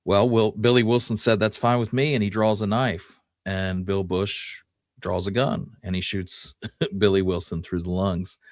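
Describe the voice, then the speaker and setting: A man co-hosting a podcast, telling a history story conversationally with folksy, halting phrasing. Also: lowish voice, flat delivery, no echo, studio quality.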